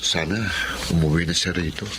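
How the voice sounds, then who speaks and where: A man voicing a devoted husband and son in a radio drama, speaking gently and earnestly. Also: low-pitched, steady tone, normal speed, very noisy, natural voice. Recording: loud background music.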